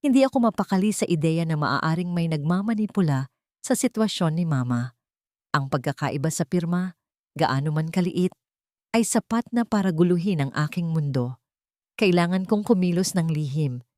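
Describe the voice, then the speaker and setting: A woman's voice: lowish voice, lively intonation, moderate pace, a little mellow, no room sound, studio quality. A woman reading a scripted, dramatic first-person story as an audio narrator.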